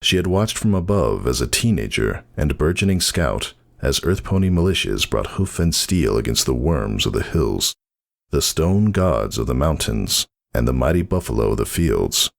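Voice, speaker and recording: A man's voice: extremely low pitch, big pitch swings, moderate pace, gravelly. A man narrating a fantasy story in a dramatic, scripted reading style.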